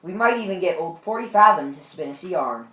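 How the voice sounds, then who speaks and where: A woman plays a genial announcer aboard ship in an amateur radio drama. The voice is on the low side for a woman, recorded in an echoey room. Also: expressive, fairly quick, a bit bright. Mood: inviting. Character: Mister Ball, genial male shipboard program host.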